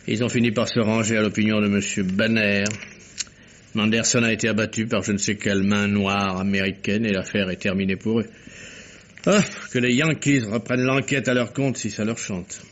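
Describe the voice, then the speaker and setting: A world-weary reporter in his thirties played by a male actor in a vintage radio mystery. His voice is fairly low, his manner gloomy, guarded and evasive. The sound is slightly dull, like an old broadcast. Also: steady tone, moderate pace, a bit bright, natural voice. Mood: bitter. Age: 30s. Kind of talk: old French radio drama acting.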